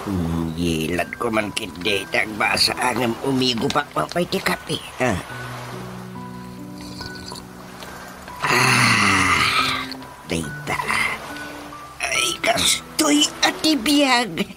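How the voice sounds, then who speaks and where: A playful older Filipino father in a radio comedy drama. His pitch swoops widely while he takes his time, full of drawn-out interjections and hums, with music playing loudly behind him. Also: very animated. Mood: contented, musing. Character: older village father, playful, easily amused, fussing over his coffee.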